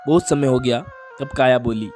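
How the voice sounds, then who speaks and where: A man's voice: mid-range voice, moderate pace, darkish tone. A male storyteller with a mid-range voice narrates a children's cartoon fable. He reads from a script, with background music under him.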